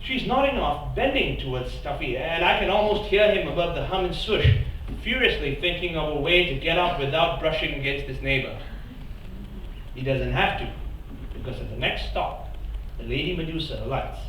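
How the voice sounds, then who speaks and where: A man giving an expressive, playful live reading of a first-person story on a theatre stage. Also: fairly high pitch, moderate pace, slight background noise. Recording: echoey theatre, mic far off.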